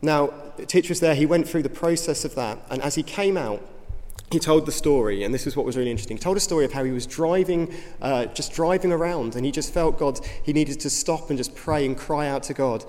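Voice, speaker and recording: Slightly hoarse man in echoing church. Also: on the high side, fairly quick, darkish tone. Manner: informal, earnest, with fillers. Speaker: youth ministry director. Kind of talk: church talk. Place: church hall. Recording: reverberant hall.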